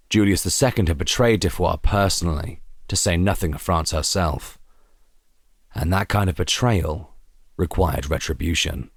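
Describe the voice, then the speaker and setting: A man reading a scripted historical story as a narrator, in a measured, deliberate, theatrical way. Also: sits low, no room sound, studio quality. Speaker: audio drama narrator.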